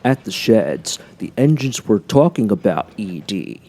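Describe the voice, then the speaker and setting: A man's voice: lowish voice, very animated, unhurried, warm tone. A man reading scripted narration for a children's story as a storyteller.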